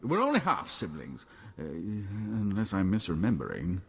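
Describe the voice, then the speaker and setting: An actor playing a timid, flustered aristocrat in a video game, speaking unsurely. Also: male voice, sits low, huge pitch swings, moderate pace, husky, long reverb tail, heavily acted. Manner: stammering, unsure. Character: flustered, timid male aristocrat posing as brother.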